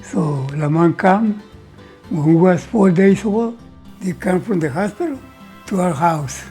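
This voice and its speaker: An older man from Mexico with a Mexican Spanish accent, a foster father speaking slow, simple, broken English in an interview. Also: high voice. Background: soft guitar music.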